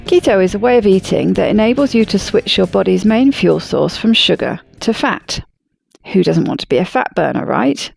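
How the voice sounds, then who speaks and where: Gravelly-edged woman podcast host. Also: medium pitch, animated, moderate pace, a little mellow, faint music behind. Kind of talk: scripted intro, then casual interview chat.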